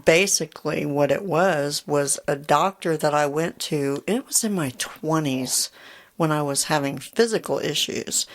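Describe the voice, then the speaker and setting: A middle-aged woman with a deep voice, co-hosting a podcast in candid, joking casual chat and laughing easily. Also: gravelly. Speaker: podcast co-host.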